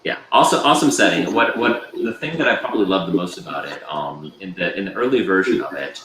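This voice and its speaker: A man with a deep voice chatting casually as a guest on a video call, relaxed and rambling with many fillers. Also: quick pace, reverberant, noisy background. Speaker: guest presenter.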